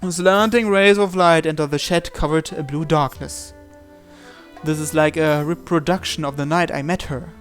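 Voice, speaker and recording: Guy with kinda high voice reading visual novel narration, some music behind. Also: steady tone, normal speed. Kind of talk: scripted narration, first-person story reading.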